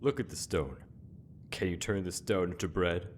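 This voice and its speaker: A man voices a stern, commanding inquisitor in a fantasy game. The voice is low and the delivery solemn and contemptuous, full of accusing questions. A faint rumble sits under the recording. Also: moderate pace, close to an everyday voice. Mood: mocking, solemn. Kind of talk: dramatic fantasy game monologue.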